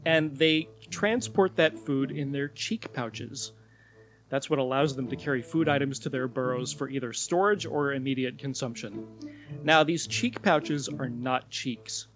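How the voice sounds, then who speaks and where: Mid-pitched man explaining things calmly as a podcast co-host, with faint music behind. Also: measured pace. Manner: calm, explanatory, laughs along. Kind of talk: casual chat with explanations.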